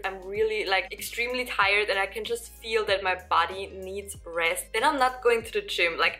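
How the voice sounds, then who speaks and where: A young woman talks straight to the camera from her living room, easygoing and encouraging, piling up repeated words when she wants to stress a point. Her voice is bright and clear at a middling pitch, and quiet music plays faintly behind her. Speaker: fitness vlogger. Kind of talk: casual talk to camera. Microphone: camera at desk distance.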